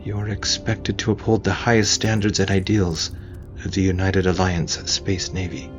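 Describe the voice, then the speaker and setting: A man's voice: sits low, level tone, normal speed, soft background music, voice pushed up, natural voice. A man voicing a young female junior navy ensign in audio fiction, earnest and deferential. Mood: excited, formal. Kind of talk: audio fiction character dialogue.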